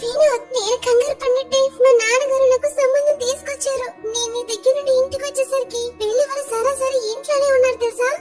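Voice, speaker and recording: A woman's voice: very high-pitched, fairly even intonation, fast, hardly any pauses. A woman playing an anxious, pleading young woman in a cartoon story. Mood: panicked. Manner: fretful, pleading. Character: anxious, pleading young woman in love, facing arranged marriage. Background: music.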